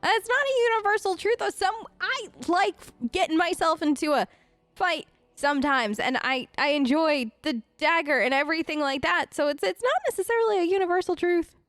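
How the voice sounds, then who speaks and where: A woman's voice: extremely high pitch, lively intonation, moderate pace, a bit bright, no echo, faint music behind. A woman doing playful, informal role-play commentary as she hosts a video game let's play, chatting in character. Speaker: gaming host.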